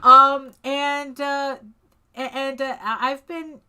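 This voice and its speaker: A middle-aged woman from Spain with a bright, crisp tone. She talks casually as an interview guest over a video call, often restarting her sentences and breaking into chuckles. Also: high-pitched, flat delivery. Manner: halting, with frequent restarts and chuckles. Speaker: comic artist guest.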